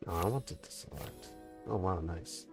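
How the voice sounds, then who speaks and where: Woman streamer, very low voice, jokey game commentary, music under. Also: unhurried. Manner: playful, jokey asides. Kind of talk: casual gaming commentary.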